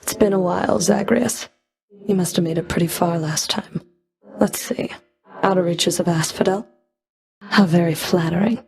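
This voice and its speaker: Stern underworld fury lady for a video game, lowish gravelly voice, cold and clipped, super disdainful. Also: steady tone, moderate pace, long pauses, darkish tone, soft background music. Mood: scornful. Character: stern, scornful female Fury, underworld enforcer. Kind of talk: video game combat and dialogue voice lines.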